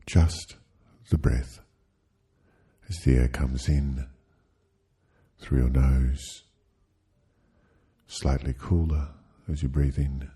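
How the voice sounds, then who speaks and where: A man leading a guided sleep hypnosis, speaking soothingly and gently in short, halting phrases with long pauses. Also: extremely low pitch, flat delivery, slightly rough.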